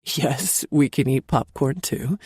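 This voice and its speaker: A woman narrator voicing a confident, playful adult man, the husband, in a low teasing drawl for an audiobook. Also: slow, darkish tone, husky, no room sound, clean studio sound, full character voice. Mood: warm, cheerful. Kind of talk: audiobook character voice.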